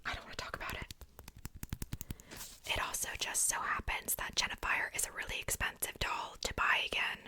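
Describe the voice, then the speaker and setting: A woman whispers in a very bright, crisp-edged hush. Her delivery is casual ASMR, informal and breathy, like showing things to a friend. The voice stays fully whispered throughout. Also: normal speed. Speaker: ASMR video creator.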